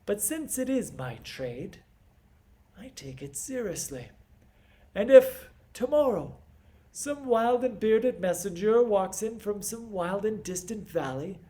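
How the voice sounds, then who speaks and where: A proud, stern king, acted by a man in a stage tragedy, speaking in a fairly high male voice. He is scornful and theatrical, weighing his phrases slowly with dramatic pauses. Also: animated, dark tone. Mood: stern, resolute. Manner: theatrical, deliberate, emphatic delivery with dramatic pauses. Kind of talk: classical stage monologue.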